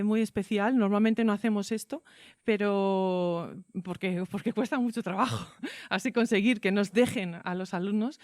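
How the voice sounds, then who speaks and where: A woman's voice: medium pitch, a little mellow, clean tone, dry recording, clean studio sound. A woman with an accent from Spain, speaking informally and a bit hesitantly as a teacher hosting a radio interview. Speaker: teacher and radio workshop host. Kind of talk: informal spoken introduction and interview.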